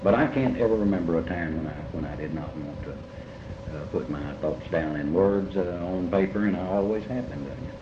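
Older-middle-aged kentucky mountain guy reminiscing slowly with lots of pauses, low voice, dull echoey tape. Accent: Appalachian Kentucky. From eastern Kentucky, United States. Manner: halting, reflective, full of pauses. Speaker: writer being interviewed. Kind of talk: reminiscing in an oral interview. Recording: muffled, noisy, roomy amateur tape.

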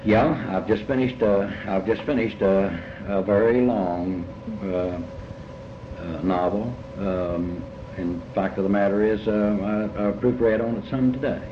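Low-voiced Kentucky man, halting and deliberate. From Kentucky, United States. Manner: halting, deliberate, folksy, often repeating phrases. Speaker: novelist being interviewed. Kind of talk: reflective interview answers. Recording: muffled, noisy, echoey old tape.

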